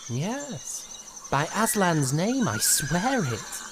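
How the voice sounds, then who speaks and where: A proud, romantic young prince speaks in grand archaic phrases, played by a woman. The pitch is low yet swings widely, and the delivery is slow and drawn out. Music plays under this audio drama performance. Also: sing-song, noisy background, full character voice. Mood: wistful. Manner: formal archaic courtly speech. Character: young lovestruck prince, courtly, proud and wilful.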